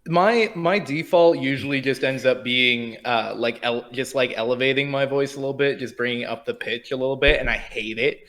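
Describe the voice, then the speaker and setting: A man's voice: mid-range voice, a little fast, hardly any pauses, fairly bright tone. A man chatting casually as a panelist and game master. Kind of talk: casual roundtable chat.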